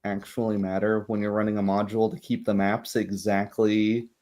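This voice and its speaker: A man speaking as game master, casually setting up a tabletop roleplay session in a rambling, halting way that often corrects itself. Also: fairly low pitch, monotone, mellow.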